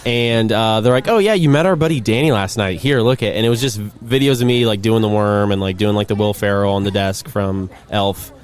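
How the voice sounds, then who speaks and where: A man's voice: on the low side, fairly quick, few pauses. A man in his twenties hosting a podcast outdoors, talking casually with many fillers and easy laughs. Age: 20s. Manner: hesitant, filler-heavy, laughs easily. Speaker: podcast host. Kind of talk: casual chat. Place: outdoors by a racetrack.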